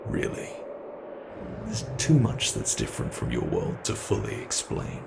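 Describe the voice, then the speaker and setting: A British man voicing a predatory male monster from under the bed in a horror roleplay. He speaks slowly and playfully, taunting his prey and dropping to whispers. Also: on the low side, wildly swooping pitch, vocal fry, background noise, full character voice.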